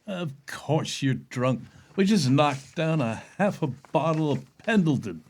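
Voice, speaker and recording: A man's voice: on the high side, animated, measured pace. A man playing a secretive, controlling celebrity chef with sinister charm in a readers theater audio play. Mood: coaxing, evasive.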